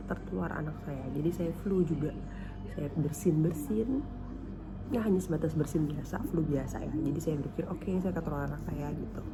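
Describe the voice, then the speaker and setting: A woman's voice: sits low, noisy background. A woman tells a personal story in a casual, conversational vlog style, often repeating and rephrasing herself. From Indonesia. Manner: conversational, repeats and rephrases, ends phrases with "gitu". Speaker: beginner vlogger. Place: hospital isolation room. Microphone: handheld camera, later set down in front of her.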